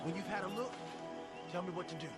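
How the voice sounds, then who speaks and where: An actor playing a young, easygoing male outlaw in a game cutscene, with a relaxed drawl. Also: high voice, fast talker, lightly acted. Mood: hushed. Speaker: game character. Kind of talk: cutscene voice acting. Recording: game audio with loud music.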